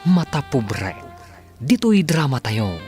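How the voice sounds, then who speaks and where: A man's voice: medium pitch, very animated, slow pace, darkish tone, faint music behind. A man with an Ilocano accent narrating a radio drama as its announcer, in a dramatic scripted style.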